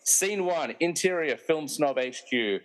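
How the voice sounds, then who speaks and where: A man's voice: on the high side, lively intonation, a little slow, voice pushed up. A man playing an opinionated, wry narrator who reads scene directions for a comedy mystery radio play. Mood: wry, scene-setting. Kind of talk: scripted narration. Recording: long echoing room reverb.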